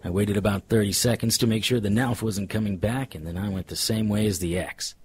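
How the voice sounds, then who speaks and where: An actor playing a wry, deadpan, hardboiled supernatural private investigator narrating a noir radio drama in a husky voice. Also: male voice, fairly low pitch, fairly quick, natural voice. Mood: wry, watchful. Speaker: narrator and lead.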